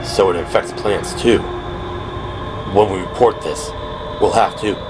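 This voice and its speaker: A man's voice: deep voice, a little slow, noisy background, pitched below the performer's own voice. A man voicing a grim, uneasy male action hero in an audio drama. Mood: grim, disgusted. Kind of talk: audio drama character dialogue.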